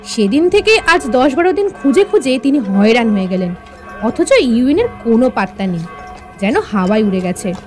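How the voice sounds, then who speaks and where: High, animated, firm young heroine. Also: female voice, moderate pace, soft background music. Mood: probing. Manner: firm, admonishing, expressive. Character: young detective heroine, firm, watchful and bossy niece. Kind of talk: radio drama acting.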